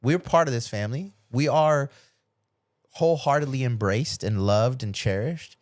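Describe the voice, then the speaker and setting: A middle-aged man chats as a podcast host in a medium-pitched voice. He talks informally, recounting stories and slipping into quoted dialogue, with some swearing. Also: normal speed, dry recording, studio quality. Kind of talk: casual chat, storytelling.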